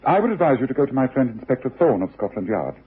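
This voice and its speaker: An actor playing a brisk, exacting private detective with a British accent, clipped and authoritative, in a radio drama. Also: male voice, medium pitch, sing-song, slightly rough. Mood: grave, firm. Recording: muffled, tinny old radio recording.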